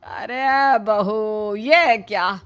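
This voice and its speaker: A woman's voice: medium pitch, very slow, clean tone, soft background music. A woman voicing a caring, fretful middle-aged mother-in-law with a motherly, exasperated manner in a story. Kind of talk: animated story dialogue.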